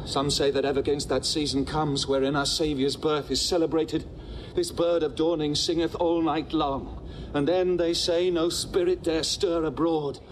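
Higher-voiced devout soldier played by a man. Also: level tone, normal speed, a little hiss or hum, close to an everyday voice, rough recording. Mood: reverent. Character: awed, devout soldier on night watch. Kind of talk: classical stage drama acting.

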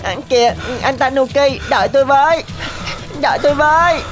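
A woman's voice: high-pitched, moderate pace, over music, close to an everyday voice. A woman voicing a small, clever cartoon rabbit with an earnest, coaxing manner. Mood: urgent. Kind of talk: animated cartoon dialogue.

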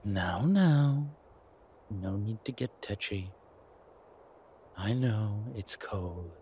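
A man playing a centuries-old vampire in a roleplay audio drama, suave, wry and protective, speaking in a measured, theatrical way with deliberate pauses. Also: lowish voice, animated, darkish tone, exaggerated voice. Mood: wry, grumbling. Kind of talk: scripted roleplay audio drama addressed to the listener. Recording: clear background ambience noise.

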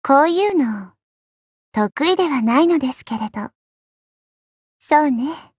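A woman playing a petite, elegant, coy and haughty goddess in a mobile game, speaking softly with refined, condescending politeness. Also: high voice, animated, a little slow, dry recording, studio quality. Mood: feigned helplessness. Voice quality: soft, breathy. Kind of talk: Japanese mobile game character voice lines.

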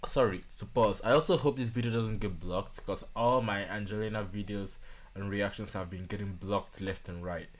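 Male reaction-channel host in his 20s, fairly low voice, informal and thoughtful commentary. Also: normal speed, over music. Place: small home studio room.

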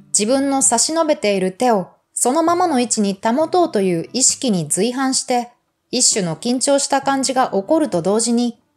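A woman with a fairly high voice reads literary prose aloud like an audiobook narrator. Her delivery is measured and articulate, and music plays behind her. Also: darkish tone. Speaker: audiobook reader. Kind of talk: scripted literary reading. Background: background music.

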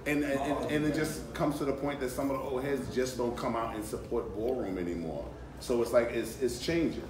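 A man with a deep voice talking casually in a panel discussion, repeating himself as he makes his point, recorded in a hotel conference room. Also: brisk. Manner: relaxed, repeats phrases, conversational. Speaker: panelist in audience. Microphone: handheld mic, recorded by a phone camera across the room. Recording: echoey room, from far off, noisy.

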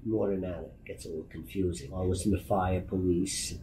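A man's voice: sits low, steady tone, fairly quick, heavy background noise. A man in his fifties with a New York accent, giving an emphatic, plain-spoken documentary interview as a veteran news photographer. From Brooklyn, New York. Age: 50s. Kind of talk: documentary interview, personal account. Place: meeting room indoors.

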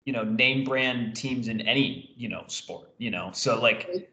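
A man's voice: medium pitch, a little slow, sharp tone. A man hosting a casual sports talk show over a video call, with loose, rambling phrasing. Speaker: show host, interviewer. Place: indoor room, video call. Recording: roomy, echoey video call audio.

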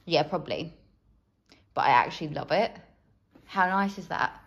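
A woman's voice: low-pitched, expressive, moderate pace, muffled recording. An enthusiastic woman in her thirties chatting casually for a home vlog, recorded indoors on a handheld device. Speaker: vlogger. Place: living room and kitchen at home, indoors. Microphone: handheld phone or camera, near.

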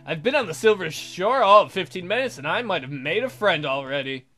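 A man's voice: high voice, lively intonation, a little fast, sharp tone, soft background music. A man doing a let's play, reading visual novel narration aloud. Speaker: let's player.

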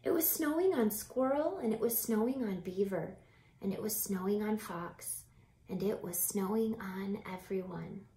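A woman in her thirties reads a children's picture book aloud like a teacher, expressively, with drawn-out phrasing and asides to young listeners. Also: medium pitch. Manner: animated, deliberate, drawn-out phrasing with hushed whispers. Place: small home room by a window. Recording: roomy echo from home camera mic.

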